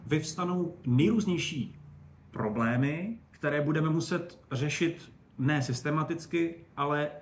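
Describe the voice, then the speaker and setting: A man giving animated, colloquial, digressive explanations as an expert guest in a casual interview. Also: fairly high pitch. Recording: roomy echo, faint background noise.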